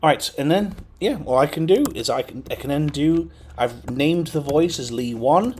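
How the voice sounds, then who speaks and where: A man's voice: fairly high pitch, animated, normal speed, a little mellow, slight background noise. A man hosting a casual computer tutorial, talking through steps with frequent fillers and checks like "okay?". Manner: chatty, lots of fillers and okays. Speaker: tech tutorial host. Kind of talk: casual screen-recorded tutorial.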